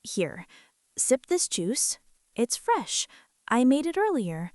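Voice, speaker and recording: A woman acts as a tender, anxious mother in an ASMR roleplay. Her voice sits fairly high yet stays mellow and hushed, and she speaks very slowly, with hesitant reassurance. The sound is close and dry. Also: very animated, dry recording, full character voice. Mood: soothing. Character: gentle, nervous mother fussing over a hurt boy. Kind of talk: soft-spoken ASMR roleplay.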